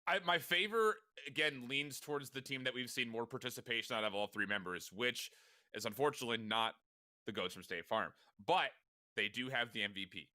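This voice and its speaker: A man's voice: mid-range voice, fairly quick, bright, forceful tone, no room sound. A man from Chicago co-commentating esports, emphatic and prone to stammered restarts. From Chicago, USA. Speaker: esports co-caster.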